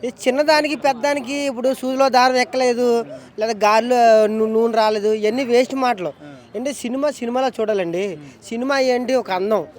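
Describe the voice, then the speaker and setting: A man's voice: very high-pitched, fairly even intonation, brisk. A man giving his opinion in an emphatic, talkative way in a street interview. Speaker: member of the public giving opinion.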